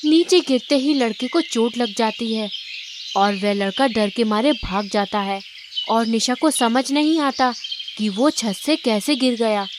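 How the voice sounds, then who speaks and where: A woman's voice: on the high side, unhurried, background noise. A woman reading scripted story narration.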